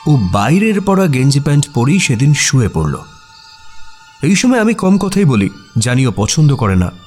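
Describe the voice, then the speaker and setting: A man acting a detective's puzzled, admiring friend who narrates a mystery audio story in an expressive, suspenseful way. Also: medium pitch, close to an everyday voice. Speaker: narrator and sidekick character. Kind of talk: dramatized first-person audio story narration. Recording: music behind the voice. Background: music.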